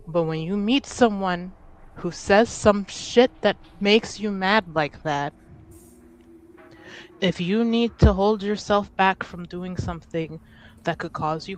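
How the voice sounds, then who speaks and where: A woman roleplaying in a tabletop game, speaking earnestly and deliberately, with narrated asides. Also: fairly low pitch.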